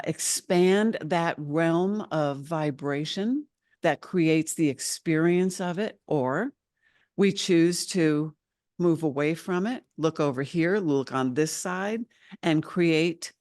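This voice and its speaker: A woman's voice: sits low, slow pace, darkish tone, dry recording, studio quality. A woman channeling spiritual teachings as a guest, speaking earnestly and insistently as a collective "we" over a video call.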